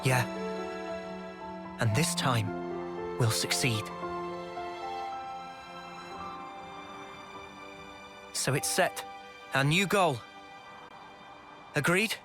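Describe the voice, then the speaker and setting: A man's voice: medium pitch, expressive, takes it slowly, long pauses, music in the background. A man voicing an earnest, thoughtful and determined young leader in a dramatic video game cutscene. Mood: quiet resolve.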